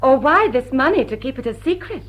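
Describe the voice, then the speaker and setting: An actress playing a witty woman thief among English high society in a radio drama, coolly polite and mock-innocent. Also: sing-song, a little fast, a bit bright, exaggerated voice. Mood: composed, teasing. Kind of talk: classic radio drama acting.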